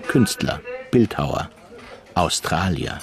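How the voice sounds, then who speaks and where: Deep-voiced male narrator. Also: big pitch swings, takes it slowly, gravelly. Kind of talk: scripted radio drama narration.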